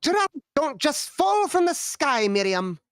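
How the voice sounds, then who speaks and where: A woman's voice: high-pitched, big pitch swings, a little slow, no room sound, clean studio sound. A woman playing a dotty, bossy elderly former actress in a screenplay table read, theatrical and scolding.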